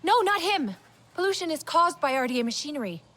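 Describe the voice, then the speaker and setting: Young female tech, high-pitched, alert and anxious, game cutscene delivery. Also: very animated, fairly quick, bright, forceful tone, heavily acted. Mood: worried. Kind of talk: cinematic video game dialogue.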